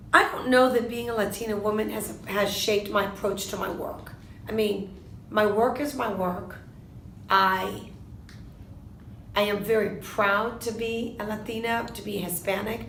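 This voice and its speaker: A woman in her fifties, born in Argentina, answering interview questions in a measured way, often posing a question to herself and then answering it. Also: mid-range voice, gravelly, fairly bright tone, echoey room, slight background noise. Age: 50s. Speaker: interviewee, lawyer.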